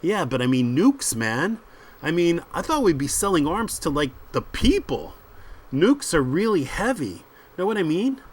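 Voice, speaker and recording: A man voicing an anxious crook's sidekick in a comic book read aloud, halting and questioning. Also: fairly high pitch, sing-song, breathy edge, voice pushed up, heavily acted. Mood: uneasy, protesting.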